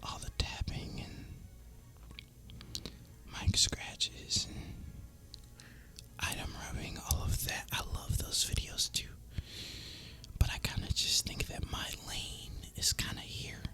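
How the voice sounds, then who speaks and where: A man speaking in a breathy, unvoiced whisper, rambling casually and in a relaxed way as he hosts an ASMR gaming video. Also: takes it slowly, long pauses.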